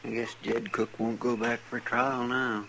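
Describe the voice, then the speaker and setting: An actor playing a simple, eager frontier deputy with a rural American Western drawl, deferential and plain-spoken in an old-time radio drama. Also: male voice, medium pitch, flat delivery, measured pace, gravelly, pushed and bright. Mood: hushed, sorrowful. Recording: noisy vintage radio drama with effects.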